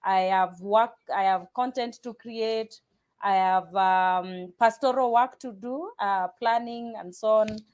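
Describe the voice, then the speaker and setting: A woman's voice: mid-range voice, slow, darkish tone. A woman from Kenya, a pastor talking casually in an interview, often restarting sentences and repeating words. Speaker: pastor and guest.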